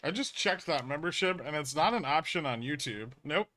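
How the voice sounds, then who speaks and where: A man's voice: on the high side, lively intonation, normal speed, bright, forceful tone. A man hosting a casual livestream, explaining things bluntly and emphatically with some swearing and answering viewers as an educator. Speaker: livestream host, trading educator.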